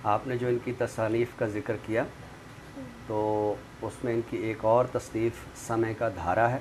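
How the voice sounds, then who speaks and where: A man's voice: on the low side, fairly even intonation, a little slow, a little mellow. Pakistani man explaining, noisy background. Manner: didactic, explains with examples and checks understanding. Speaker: literary commentator and guest expert on a talk show. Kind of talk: explanatory literary discussion. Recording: clear background noise.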